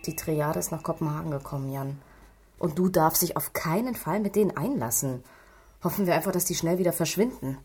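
An actress playing a stern, worried policewoman in a radio drama, firm, admonishing and dryly sarcastic, with a husky voice. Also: low voice, fairly quick, dark tone. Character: stern, worried female police colleague, scolding and maternal.

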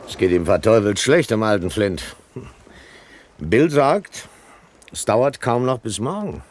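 An actor in a German radio play playing a scheming, persuasive pirate with an insinuating, smooth-talking manner. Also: male voice, lowish voice, expressive, unhurried. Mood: wry, confiding.

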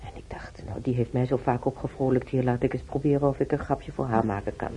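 An actress playing an older hospital patient in a radio drama, motherly and consoling, speaking haltingly and reassuringly. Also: a bass voice, steady tone, dark tone, slight background noise, rough recording. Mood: gently coaxing. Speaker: radio play actor. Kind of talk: naturalistic radio drama dialogue.

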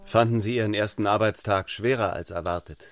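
An actor playing an austere, fervent young clergyman in a radio drama, speaking in a formal, deliberate, controlled manner. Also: on the low side, fairly even intonation, over music, lightly acted. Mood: stern, probing.

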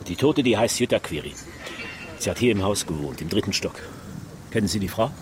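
A man's voice: on the low side, expressive, brisk, noisy background, natural voice. An actor playing a wry male homicide detective in a naturalistic radio crime drama, speaking in a dry, casual way. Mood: businesslike.

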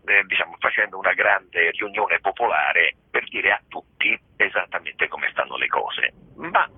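A man's voice: low voice, lively intonation, moderate pace, husky, no room sound. A man explaining things conversationally in a radio interview, in a discursive, emphatic way with rhetorical questions and repetition. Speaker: theatre practitioner being interviewed. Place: phone call into a radio programme. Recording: muffled, thin telephone line on radio.

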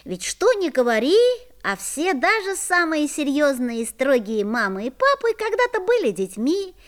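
A woman's voice: high-pitched, big pitch swings, normal speed. A woman voicing an earnest young boy narrator. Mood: tender. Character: young boy narrating, friend of a forest spirit. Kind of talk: storybook narration in an audio play. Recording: faint music behind.

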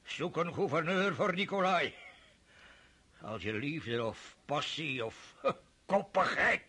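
Grumpy mocking old dad in a radio play, husky voice, pitch swings all over. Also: male voice, animated, slow, sharp tone, full character voice. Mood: stern. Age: older. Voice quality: gravelly, husky, mocking laughter. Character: old aristocratic father, stern, mocking, short-tempered. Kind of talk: radio drama acting.

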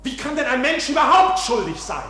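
A man's voice: very high-pitched, big pitch swings, a little fast, pushed and bright, exaggerated voice. An actor playing an accused man in a radio drama, defiant and anguished, with impassioned, theatrical delivery. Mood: agitated protest. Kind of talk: dramatic radio play acting.

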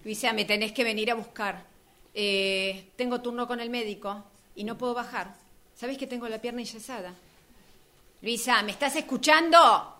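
A high, forceful woman's voice with a Rioplatense accent, acting an impatient neighbour in a radio drama. She is demanding and exasperated, quick to snap and swear. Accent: Rioplatense Argentine. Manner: demanding, shouting, foul-mouthed. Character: furious, impatient woman with a leg in plaster. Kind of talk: amateur radio drama exercise.

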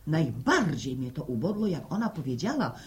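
A woman's voice: sits low, sing-song, normal speed. An actress playing a stubborn, God-fearing village woman in a radio drama, easily scandalised, with a rural Polish accent.

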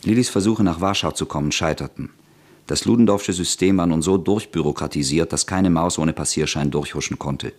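Guy narrating an old radio play, very deep voice, even documentary tone. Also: fairly quick.

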